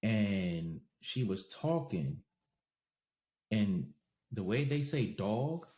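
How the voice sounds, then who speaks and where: A man with a faint New York accent talks slowly and easily, stretching his words in relaxed podcast chat. He laughs often as he goes. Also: fairly low pitch. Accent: faint New York, softened by travel. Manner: laid-back, drawn-out, laughs easily. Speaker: podcast co-host.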